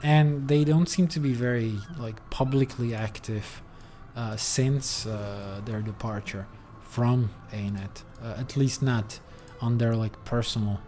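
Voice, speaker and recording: A man's voice: medium pitch, fairly even intonation, slow, hardly any pauses, dark tone, soft background music. A man streaming a game, talking casually and rambling, with lots of fillers and the odd swear. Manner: rambling, many fillers, loose and profane. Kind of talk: casual gameplay commentary.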